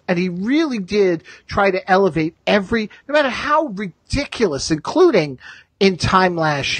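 A man hosts a casual fan podcast with emphatic, opinionated delivery. His voice is rough-edged and very expressive, recorded close and dry. He often repeats phrases as he builds a point. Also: sits high, no room sound. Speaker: podcast host.

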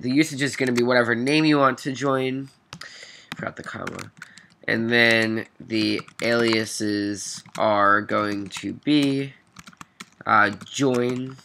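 A bright-voiced man hosts a coding walkthrough, sounding nasal and congested with a cold. He talks it through haltingly, correcting himself along the way. There is faint noise behind him, with keyboard typing and clicks. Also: on the low side, creaky. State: stuffy nose from a cold. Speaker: programming tutorial host. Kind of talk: informal coding walkthrough, thinking aloud. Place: at a computer, screen recording voice-over. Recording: noisy mic with keyboard clicks.

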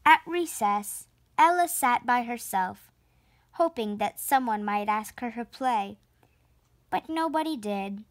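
A woman reading a children's storybook aloud in an expressive, deliberate narrating style. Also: a little high, no echo. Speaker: storybook reader.

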